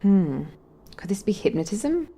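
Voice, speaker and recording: A woman's voice: mid-range voice, sing-song, measured pace, background noise. A woman playing a plucky, restless alien adventurer in an audio drama, speaking in a wry, earnest way. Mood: puzzled, wry.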